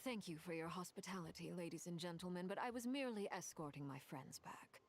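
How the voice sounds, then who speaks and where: Woman playing a low-voiced, self-assured lady. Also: fairly quick, close to an everyday voice. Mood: courteous. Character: self-assured, courteous, cool commanding lady. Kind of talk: video game character dialogue. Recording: faint noise from game stream.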